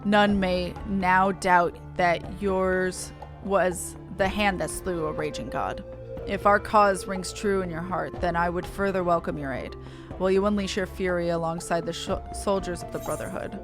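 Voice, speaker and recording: A woman's voice: lowish voice, steady tone, music in the background. A woman voicing a stern, proud beastman war leader in a game, speaking solemnly and formally. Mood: solemn approval. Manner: solemn, slow, formal delivery. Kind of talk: reading game dialogue in character.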